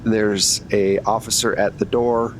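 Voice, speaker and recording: Male game master narrating over faint rumble. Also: little pitch movement, unhurried. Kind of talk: casual narration of a tabletop roleplaying game. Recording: noticeable low rumbling background noise.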